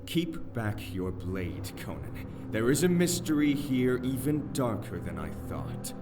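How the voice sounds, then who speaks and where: A man's voice: medium pitch, very animated, measured pace. An actor playing an eloquent, anxious young nobleman in a fantasy audio drama, with theatrical, archaic phrasing. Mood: tense, commanding. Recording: music bed behind the voice.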